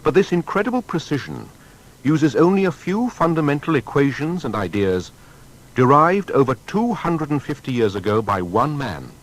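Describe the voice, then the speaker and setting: A man narrating a scripted biography in a measured, formal and dramatic way. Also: very animated, low-quality recording. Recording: faint background rumble and noise.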